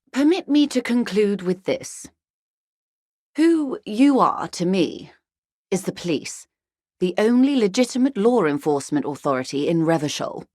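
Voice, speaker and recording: Actress doing posh older lady from a video game, low voice, dry studio sound, wry n measured, politely condescending. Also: no echo, natural voice. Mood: composed, courteous. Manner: measured, articulate, condescendingly polite, dryly ironic. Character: poised, wry upper-class older woman, unrepentant ultra-liberal negotiator. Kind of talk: video game character dialogue.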